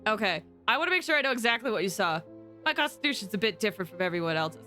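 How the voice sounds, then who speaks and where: A woman streaming a game with animated, rambling commentary and dramatic outbursts. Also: mid-range voice, very fast, faint music behind. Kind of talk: excited live gameplay commentary and theorizing.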